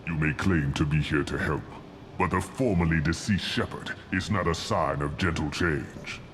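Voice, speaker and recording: An old alien warlord scientist with a deep voice, imperious and disdainful. His delivery is pompous and theatrical, in the manner of a sci-fi game villain, over clearly noisy ambience. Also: moderate pace. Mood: impatient, scornful. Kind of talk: video game voice acting. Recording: loud ambient noise behind.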